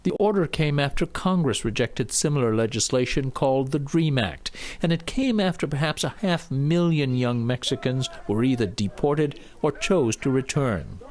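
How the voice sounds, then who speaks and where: Measured male news correspondent. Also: mid-range voice. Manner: measured, even reporting delivery. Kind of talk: scripted news narration.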